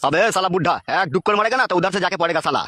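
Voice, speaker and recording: A man's voice: high-pitched, racing, bright, forceful tone, dry recording, studio quality. A man voicing a swaggering, abusive village gang boss in a comedy cartoon. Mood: threatening. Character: foul-mouthed, bullying village club gang boss.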